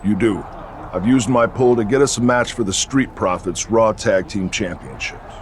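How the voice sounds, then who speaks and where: An actor playing a stern, commanding veteran wrestler who leads a faction in a video game, talking curtly and with authority. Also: male voice, fairly low pitch, moderate pace, a little hiss or hum, natural voice. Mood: confident, commanding. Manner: curt and authoritative. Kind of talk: scripted video game cutscene acting.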